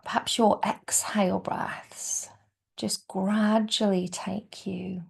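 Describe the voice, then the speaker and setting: A woman guides a relaxation session as a yoga nidra teacher. Her mid-pitched voice has plenty of soft creak and often thins to a breathy near-whisper. She speaks soothingly and without hurry, leaving gentle pauses between phrases. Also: little pitch movement. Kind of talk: guided meditation.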